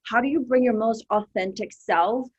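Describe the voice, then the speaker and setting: A woman's voice: a little high, normal speed, breathy edge, muffled recording. Upbeat woman moderating a panel. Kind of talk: hosting a panel discussion.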